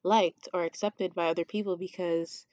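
Lower-voiced woman in dry casual chat. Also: steady tone, moderate pace, darkish tone, no room sound, dull audio. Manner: open and reflective, with restarts. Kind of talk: casual conversation.

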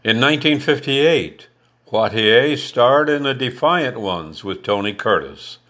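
An older man from Canada, whose first language was French, hosting a podcast with a deliberate, scripted biographical narration. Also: medium pitch, animated, sharp tone. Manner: measured, deliberate reading. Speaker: podcast host.

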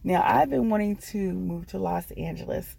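A woman's voice: mid-range voice, normal speed, creaky, mellow, slight background noise. A middle-aged American woman telling a personal story casually, hesitating and repeating phrases as she goes. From Washington, D.C. area, United States. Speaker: guest storyteller.

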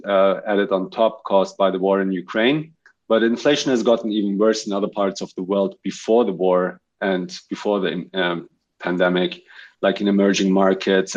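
Lo-fi low-voiced male host. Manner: friendly, chatty, with many fillers. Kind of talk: online audio show hosting. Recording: dull lo-fi online audio.

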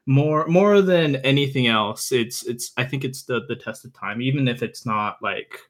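A young man with a mid-pitched voice talks as a podcast guest. His delivery is informal and a little halting, with repeated words as he explains and recommends things. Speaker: podcast guest, visual novel reviewer. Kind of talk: casual chat, explaining and recommending.

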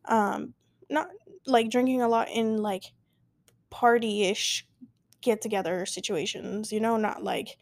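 A young woman in her twenties talks through her own podcast, rambling and cutting herself off mid-thought. Her voice sits a little high. The sound is dry and close, with no room in it. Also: fairly even intonation, takes it slowly, dry recording. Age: 20s. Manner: rambling, filler-heavy, self-interrupting. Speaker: podcast host. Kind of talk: casual solo podcast chat. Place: at home, late at night.

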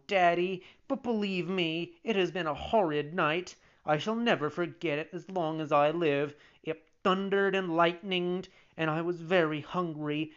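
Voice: medium pitch, highs cut off, pitched above the performer's own voice, lightly acted. A reader voicing a whiny, petulant little wooden puppet boy in a storybook, with childish, breathless pleading. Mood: breathless complaining.

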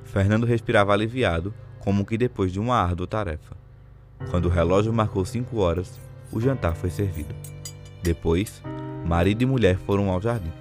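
Male narrator, low pitch, scripted storytelling, literary audio drama, faint music bed. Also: a little fast.

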